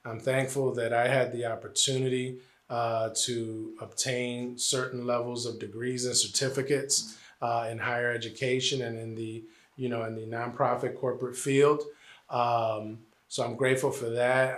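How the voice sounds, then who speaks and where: A man's voice: medium pitch, flat delivery, normal speed, roomy sound. A man in his forties from New Jersey, answering interview questions earnestly, with many "uh"s and repeated phrases. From New Jersey, Puerto Rican family. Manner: earnest, lots of "uh" and "you know", repeats phrases. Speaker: interviewee, college administrator.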